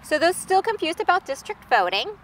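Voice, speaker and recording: A woman's voice: extremely high pitch, very animated, fairly quick, recorded from a distance, a little hiss or hum, low-quality recording. An American woman conducting a conversational local interview outdoors, asking questions as the interviewer. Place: outdoors in a park.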